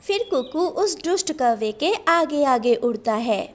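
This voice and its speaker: A woman's voice: high voice, expressive, unhurried. A woman reading scripted narration for a children's cartoon story.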